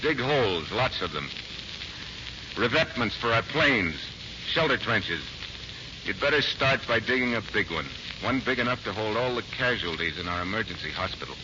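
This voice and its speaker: An actor playing a steady, grave Marine major in a 1940s radio drama, speaking with measured authority. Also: male voice, lowish voice, natural voice. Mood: warm, firm. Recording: muffled, crackly 1940s radio recording, heavy hiss.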